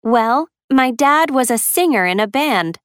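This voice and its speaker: A woman's voice: high-pitched, animated, a little slow, a bit bright, dry recording, clean studio sound. A woman in her twenties reading the lines of a young dance teacher plainly in a scripted textbook dialogue. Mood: calm. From United States.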